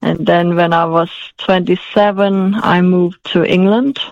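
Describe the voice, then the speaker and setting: A woman's voice: on the low side, flat delivery, unhurried, no echo, muffled recording. A woman in her forties raised in Denmark, answering interview questions hesitantly with many fillers and restarts. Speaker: guest, author.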